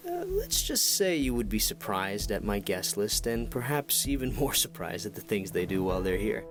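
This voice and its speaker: A man's voice: lowish voice, huge pitch swings, normal speed, music in the background. An actor playing a suave, evasive and sinister male surgeon in an audio play, with a smug, guarded delivery. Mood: suave menace.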